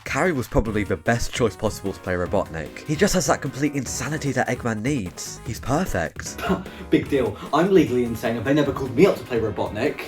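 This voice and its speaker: Brisk, sarcastic man narrating over music. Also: medium pitch, lively intonation, a little mellow. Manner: sarcastic, wry, swears casually. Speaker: video host reviewing films. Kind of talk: scripted comedic video essay narration. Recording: music behind, roomy echo. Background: background music.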